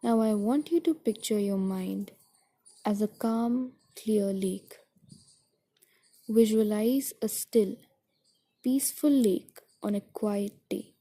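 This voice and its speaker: A woman guiding a meditation in a soothing, gentle, deliberate way, with a soft, breathy voice that trails toward a whisper. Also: mid-range voice. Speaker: meditation guide. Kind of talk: guided meditation narration.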